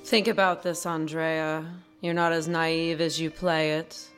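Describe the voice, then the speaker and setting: A woman's voice: deep voice, little pitch movement, unhurried, soft background music. An actress playing a knowing, worldly woman who taunts a naive girl in a condescending, insinuating way, in a live radio drama. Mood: taunting.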